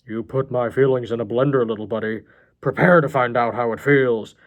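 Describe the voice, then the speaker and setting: A man's voice: medium pitch, brisk, phone-quality sound, lightly acted. Man as crazed grandpa, childish, folksy, aggrieved, menacing, storybook character. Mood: wounded, threatening. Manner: folksy, aggrieved, calls people little buddy.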